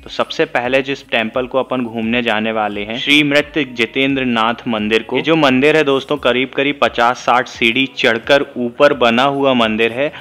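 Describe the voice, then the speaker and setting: Bright-voiced male travel vlogger. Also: medium pitch, steady tone, moderate pace, hardly any pauses. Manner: chatty, addresses viewers as friends, gives tourist tips. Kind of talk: casual travel vlog narration. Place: outdoors at a riverside temple area.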